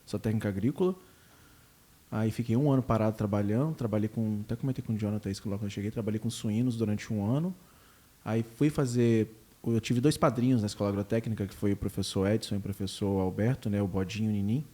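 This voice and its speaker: Fast-talking man. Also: lowish voice, fairly even intonation, dark tone. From Espírito Santo, Brazil. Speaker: podcast guest. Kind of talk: casual interview self-introduction.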